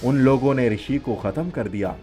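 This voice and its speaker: A man's voice: mid-range voice, normal speed, a little mellow, close to an everyday voice. A man voicing a helpful magical talking pakora in a children's story, giving instructions in a commanding way. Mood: recounting. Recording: music playing behind the voice.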